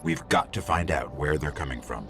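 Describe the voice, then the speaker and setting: Game companion robot: deep, gravelly, varied intonation, wry and earnest briefing. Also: male voice, wildly swooping pitch, normal speed, exaggerated voice. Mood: determined. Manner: matter-of-fact, expository. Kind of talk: video game mission briefing. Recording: music behind.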